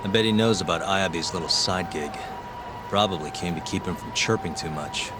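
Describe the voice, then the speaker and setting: Male actor as wry detective, deep voice, understated, video game dialogue, urban street background noise. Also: normal speed, lightly acted. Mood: pensive. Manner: understated, dry, casual. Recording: clear city street noise behind.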